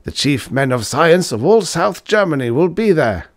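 A man reading a story aloud, voicing an old male professor with a high, excitable delivery. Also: moderate pace, no room sound, clean studio sound. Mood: decisive. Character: old male German professor, eager and fussy.

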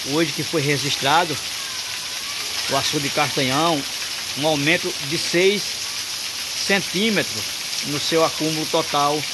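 A man's voice: fairly high pitch, very noisy. A man, filming a storm at night from a porch and talking to viewers about the rain. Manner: chatty, addresses viewers often. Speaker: vlogger filming the weather. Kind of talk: casual on-the-spot narration. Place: covered porch outdoors in heavy rain at night. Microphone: phone camera held by the speaker. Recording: loud pouring rain over voice.

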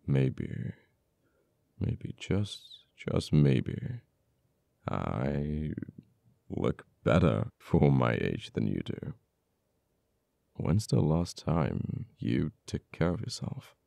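A heavily creaky, dark male voice , acted by a man, plays a cold, uptight official in an audio roleplay. He taunts a captured former friend slowly, with deliberate dramatic pauses. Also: very deep voice, very animated, dry recording, exaggerated voice. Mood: wistful teasing.

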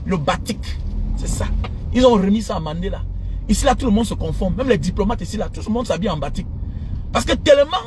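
High-pitched, animated Cameroonian man ranting. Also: quick pace. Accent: Cameroonian French. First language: an African mother tongue. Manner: animated, indignant, repetitive, mocking. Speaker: social media commentator. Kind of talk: impassioned informal rant to followers. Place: inside a moving car. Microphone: phone at arm's length. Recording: noisy car interior with road traffic.

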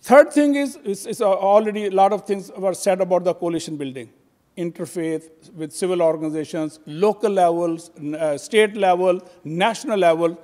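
A man's voice: high voice, moderate pace, a little mellow. An older man giving a convention talk at a stand mic, hesitant and full of fillers and restarts. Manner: hesitant, many fillers and restarts, gesturing while speaking. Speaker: convention speaker. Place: convention hall. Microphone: stand mic at a podium. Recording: long hall reverb.

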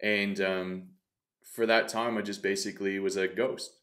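A man in his thirties speaks in a fairly low register, earnest and reflective as he recounts his own experience to a host. He often restarts his sentences and circles back to a thought. The sound is close and clean, from a desk mic in a studio room. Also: flat delivery, moderate pace, fairly bright tone. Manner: earnest, reflective, self-interrupting. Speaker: podcast guest, coach. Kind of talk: candid storytelling in conversation. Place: bright studio room, indoors. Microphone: desk mic on stand.

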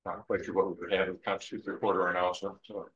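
A man chairing a city board meeting, easygoing and brisk, often repeating his words. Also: low-pitched, flat delivery, a bit bright. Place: council meeting room. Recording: echoey room, muffled sound.

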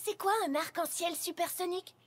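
Curious young cartoon pony girl, very high register, earnest and eager. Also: fairly even intonation, brisk, very noisy. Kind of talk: French cartoon dub acting.

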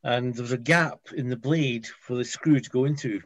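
A man giving a halting, casual technical explanation on a group video call, repeating words as he goes. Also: medium pitch, no room sound. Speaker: participant in a hobby group chat. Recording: dull, muffled video call audio.

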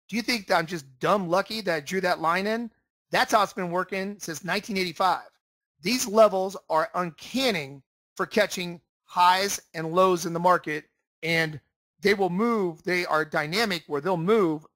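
A man's voice: high-pitched, a bit bright, no echo. A man instructing in an informal screen-share lesson, explaining emphatically and repeating himself for stress. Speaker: trading room instructor. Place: indoors, voice-over for screen share.

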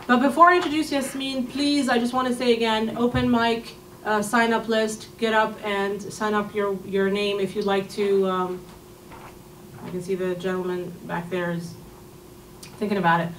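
A woman's voice: medium pitch, steady tone, moderate pace, a bit bright, a little hiss or hum. A woman hosting an open mic at a community arts center, speaking into a podium mic in a chatty, encouraging way as she introduces the featured poet. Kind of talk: event emcee introduction. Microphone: podium mic on a stand. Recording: echoey room, mic heard from a distance.

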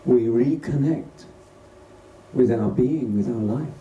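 A man leading a guided meditation as a teacher, speaking gently and unhurriedly in short phrases with pauses between them. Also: medium pitch, highs cut off. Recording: distant roomy recording with faint noise.

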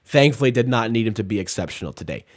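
A man's voice: lowish voice, lively intonation, fast talker, husky, dry recording. A young man in his twenties hosting a casual post-game sports stream, running through stats with fillers like "like" and "uh", on a close studio microphone in a small room at home. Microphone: studio mic on boom arm, close.

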